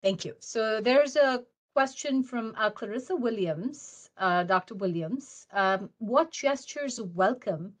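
A woman's voice: fairly high pitch, measured pace, few pauses. A woman in her forties moderates a video-call panel from her office and reads out questions from the chat.

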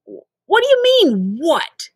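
A woman's voice: extremely high pitch, huge pitch swings, slow, no room sound, studio quality, voice pushed up, exaggerated voice. A woman voicing a panicky, exasperated young kid in a storybook, indignant and complaining. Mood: panicked, indignant.

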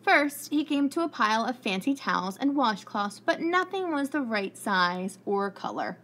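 A woman in her thirties reading aloud as a preschool teacher in a classroom, encouraging and deliberate, asking questions. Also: on the high side, very animated, a bit bright. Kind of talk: children's read-aloud with questions. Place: indoors, school classroom. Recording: faint room noise.

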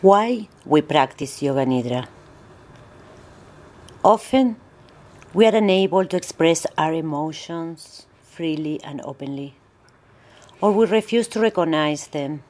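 A woman yoga instructor narrating a guided meditation in measured, instructional phrases with pauses. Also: deep voice, a little mellow.

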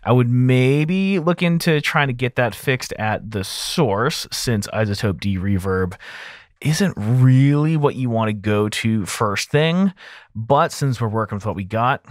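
A man in his thirties talks through a tutorial in a casual, conversational way. His medium-pitched voice is captured up close on a broadcast mic in a small, very dry home studio. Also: expressive, a little slow, no echo. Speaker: audio engineer hosting a mixing tutorial. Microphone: close broadcast mic on arm.

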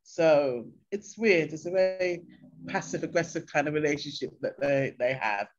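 A woman's voice: low voice, normal speed. Older woman chatting on a video call. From England. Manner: animated storyteller, acts out voices of locals. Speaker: interview guest. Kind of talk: casual chat. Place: bright room at home. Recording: dull lo-fi video call audio.

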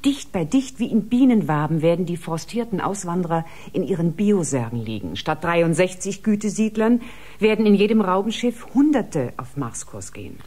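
A woman's voice: lowish voice, sing-song, normal speed, dark tone. A woman playing a composed female doctor in a German audio drama, speaking in a formal, factual way. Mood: proud, factual. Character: composed female doctor proudly explaining cryosleep technology.

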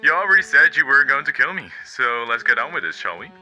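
A smug male demon overlord, played by a man who mocks everyone in a showy, sing-song manner. The tone has a very bright, cutting edge and jumps around lively in pitch. Faint music runs beneath the fan comic dub. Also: fast talker, poor audio. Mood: mocking. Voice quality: theatrical, mocking, sing-song.

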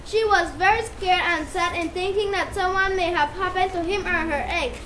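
A female narrator reads a scripted puppet-show story. The voice is very high and bright, in a roomy space with some noise. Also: steady tone, normal speed.

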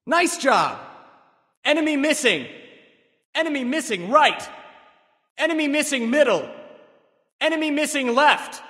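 A proud warrior sage god from a video game, with a high, bright and forceful male voice. He delivers short, commanding callouts at an unhurried pace, crisply and theatrically. A long reverb trails behind his words. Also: exaggerated voice.

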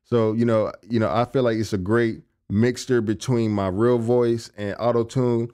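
A young man with a low voice, casually walking through his vocal recording setup in his home studio, speaking into a studio condenser mic. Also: normal speed, clear, resonant tone. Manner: relaxed, conversational, many fillers like "you know what I'm saying". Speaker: music producer and engineer. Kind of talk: casual tutorial walkthrough. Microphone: large studio condenser on a stand.

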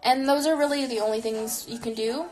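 A woman's voice: a little high, steady tone, a little fast, a little mellow. A woman in her twenties chatting casually on a live stream in a small bedroom, answering viewer questions and filling her talk with "like.". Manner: chatty, frequent "like" fillers, reflective. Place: small bedroom with neon lights, indoors. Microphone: phone camera close to face.

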